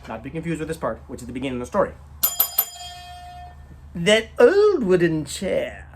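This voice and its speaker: A man reading a short story as its storyteller, in a theatrical, rhythmic manner with drawn-out emphasis and rhyming phrases. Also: on the high side, sing-song, a little hiss or hum. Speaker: storyteller, author reading own work.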